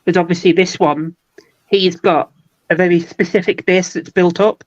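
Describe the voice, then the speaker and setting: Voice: medium pitch, fairly even intonation, normal speed, dry recording. This guest painter chats casually about their work. The voice sits in the middle range and explains steps plainly, with a light teasing edge. The recording is dry and a little dull and muffled. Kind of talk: casual hobby chat.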